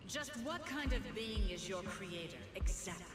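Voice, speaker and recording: A woman's voice: medium pitch, animated, moderate pace, lightly acted. Game drama: grave, devoted female teacher played by an actress, echoey, determined. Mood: solemn. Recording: echoing voice with music behind.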